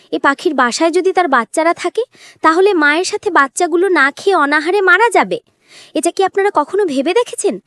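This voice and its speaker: A woman's voice: very high voice, a bit bright, no echo, studio quality, voice pushed up. A woman voicing a kind-hearted, earnest young girl heroine in a fairy-tale cartoon, with a pleading manner. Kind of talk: cartoon voice acting.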